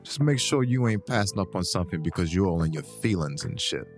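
A man's voice: sits low, lively intonation, a little fast, soft background music. A man playing a brash, teasing friend in a casual audio drama, blunt and slangy, giving advice over a game of pool. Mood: blunt, advising.

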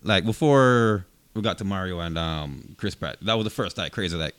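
Male co-host, lower register, tight dry recording, informal conversational talk. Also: normal speed, a bit bright, no room sound. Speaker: podcast co-host. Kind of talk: casual movie podcast chat.

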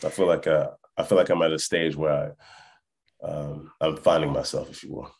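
A man being interviewed casually on a podcast as a musician, thinking aloud haltingly and often checking that the listener follows. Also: rumbling low, fairly even intonation, creaky, darkish tone. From born in France, lived in Canada and Texas, Congolese family. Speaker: hip hop musician, interview guest.

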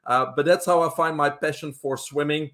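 A middle-aged man from Belgium with a Belgian accent, a guest telling his life story in an interview, relaxed and full of uh fillers. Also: fairly high pitch, moderate pace.

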